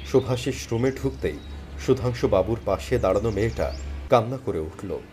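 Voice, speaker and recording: A man playing an earnest, dejected young job seeker, telling his own story dramatically in an animated thriller. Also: fairly low pitch, normal speed, a little hiss or hum, lightly acted. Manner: expressive, earnest. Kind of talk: dramatic first-person scripted story narration with dialogue.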